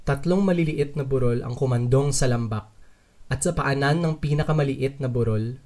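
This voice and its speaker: A man's voice: medium pitch, moderate pace, darkish tone, close to an everyday voice. A man narrating a dramatized literary story in the first person as a curious, wary traveler. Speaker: narrator and lead character of a dubbed short story.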